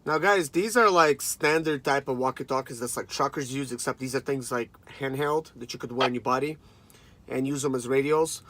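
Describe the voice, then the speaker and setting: A man's voice: medium pitch, fairly quick, a bit bright, slight background noise. A man in his thirties chatting casually and informally to viewers as he reviews a product. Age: 30s. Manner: informal, conversational, addresses viewers as guys. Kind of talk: casual unboxing chat to camera.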